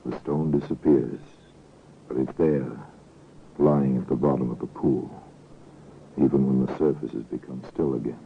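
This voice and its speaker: An actor playing a knowing, ominous narrator in an old-time radio drama, with a measured, insinuating delivery. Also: male voice, a bass voice, steady tone, vocal fry, slight background noise. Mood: ominous, musing. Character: knowing, ominous male storyteller of a mystery tale. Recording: muffled narrow-band vintage radio recording.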